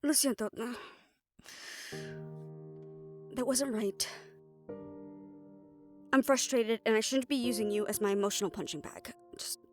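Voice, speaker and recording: Woman acting a high-pitched, frustrated siren sorceress. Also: moderate pace, over music, close to an everyday voice. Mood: subdued, apologetic. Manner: emotional venting, sarcastic and sweary, with heavy sighs. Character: frustrated, overworked siren-banshee sorceress, sharp-tongued but caring. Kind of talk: dramatic audio roleplay addressed to the listener.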